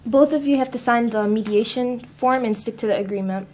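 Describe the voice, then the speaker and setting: A young woman plays an attentive teenage girl mediator in a school skit. Her voice is fairly high, and the recording has clear background noise. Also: fairly quick, darkish tone, lightly acted. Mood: neutral, inquiring. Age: teenager, high school student. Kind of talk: amateur school dramatization. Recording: noisy room with background hiss.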